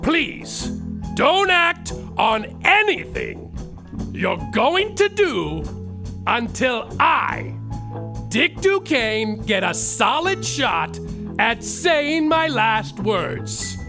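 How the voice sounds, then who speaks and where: An actor playing a cocky, swaggering space detective who narrates his own story deadpan and pleads frantically, on a live theater stage. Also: extremely high pitch, very slow, exaggerated voice. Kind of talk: comic musical podcast narration. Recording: guitar music behind a live stage.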